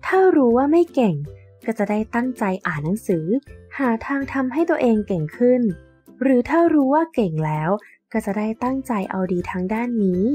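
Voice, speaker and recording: A woman's voice: a little high, a little mellow, breathy edge. A woman narrating a scripted story for children as a storyteller.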